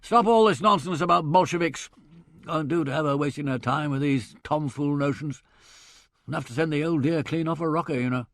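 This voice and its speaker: A man voicing a blustering, boorish middle-aged country squire, hearty and loud in manner. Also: on the high side, fairly quick, no echo.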